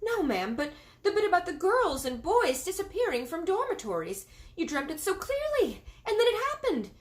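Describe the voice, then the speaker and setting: A woman voicing a flustered, deferential middle-aged maid who stammers and speaks high and breathless. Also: very animated, normal speed.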